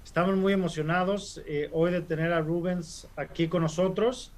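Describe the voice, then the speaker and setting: A man hosts a webinar over a video call. His voice sits a little high for a man, and he talks in a relaxed way, with frequent "eh" fillers. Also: normal speed. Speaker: webinar host. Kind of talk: casual webinar hosting.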